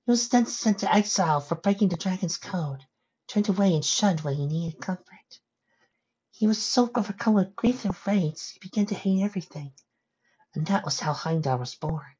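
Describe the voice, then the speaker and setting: A woman voicing a gentle, sorrowful young female pony in a storybook reading, speaking softly and earnestly. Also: on the low side, lively intonation, slightly rough, dull audio, pitched above the performer's own voice. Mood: somber. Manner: soft, earnest, measured.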